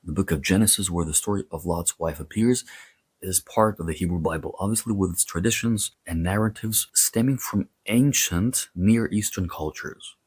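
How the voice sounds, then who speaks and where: A man with a low, husky voice hosts an educational lecture. He reads scripted material in short, separated phrases and slips into casual asides as he explains. The sound is dry, with very little room echo. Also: moderate pace, a little mellow. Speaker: host of a history and mythology series.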